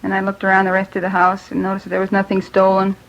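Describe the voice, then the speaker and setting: A woman giving plain first-person testimony in a subdued, halting way. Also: medium pitch, monotone. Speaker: witness, widow of the victim. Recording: dull old radio recording.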